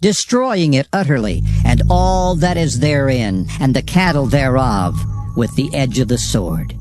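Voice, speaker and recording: A man's voice: mid-range voice, expressive, a little slow. A man giving a dramatized King James Bible reading in a solemn, declamatory and emphatic manner. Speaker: scripture narrator. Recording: music behind the reading. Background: background music.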